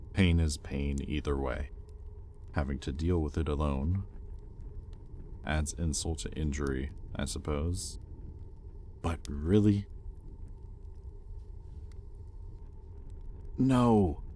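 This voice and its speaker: A man's voice: extremely low pitch, a little hiss or hum. A man playing a bitter, conflicted demon in a roleplay audio drama, speaking to his captive with brooding intensity. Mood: frustrated. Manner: brooding, intense, swinging between hushed confession and heated accusation. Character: bitter, conflicted male demon, possessive owner of a captive angel. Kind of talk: scripted roleplay audio acting, addressed to the listener.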